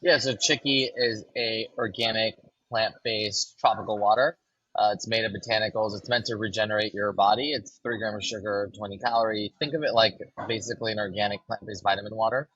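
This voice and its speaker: Husky low-voiced man, muffled dry sound. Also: fairly quick, dry recording. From Los Angeles. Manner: relaxed, fills with ums and restarts. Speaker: brand co-founder. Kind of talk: casual podcast interview.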